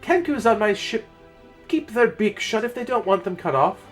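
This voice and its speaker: A man's voice: high-pitched, animated, normal speed, soft background music, pitched above the performer's own voice. A man voicing a scornful, threatening woman pirate captain who barks menacing commands in a fantasy story reading. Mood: scornful anger.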